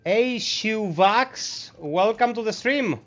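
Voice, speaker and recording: A man with a Greek accent, a native Greek speaker, giving friendly, relaxed gameplay commentary as a streamer. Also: sits high, slow pace, a little hiss or hum. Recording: slightly muffled stream mic.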